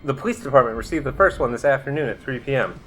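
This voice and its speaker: A man's voice: medium pitch, fast, a bit bright, slight background noise, close to an everyday voice. A man playing a wry, inquisitive young masked sidekick in a radio drama, with a casual way of talking. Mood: puzzled.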